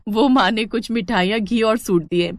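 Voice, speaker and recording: A woman's voice: on the high side, normal speed, no room sound, studio quality, natural voice. A woman voicing a sweet, obliging young wife in a family story, polite in manner.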